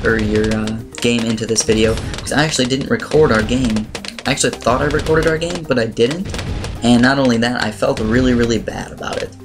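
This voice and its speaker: A man with a slightly raspy voice giving casual, rambling game commentary as he explains strategy. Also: medium pitch. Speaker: gaming video host. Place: voice-over on screen-recorded gameplay. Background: music.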